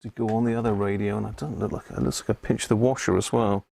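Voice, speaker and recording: A man's voice: on the low side, level tone, fast talker, vocal fry, darkish tone, no room sound. A man giving casual, unscripted live commentary to himself as he works on a hobby repair stream. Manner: unscripted, muttering to himself while working.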